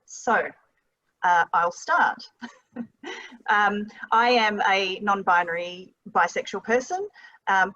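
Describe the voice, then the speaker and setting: A person in their thirties with an Australian accent, moderating an online panel informally, with frequent ums and uhs. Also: female voice, lowish voice, bright, forceful tone. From Western Australia. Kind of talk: relaxed, hesitant panel hosting. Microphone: video call. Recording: dull lo-fi video call audio.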